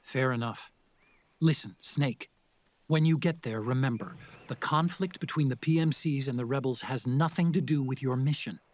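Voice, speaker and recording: An actor playing a mild, earnest tech expert in a video game, explaining things in an American accent. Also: male voice, medium pitch, normal speed, no echo, close to an everyday voice. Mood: earnest, advising. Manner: earnest, explanatory, slightly apologetic. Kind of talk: video game cutscene acting.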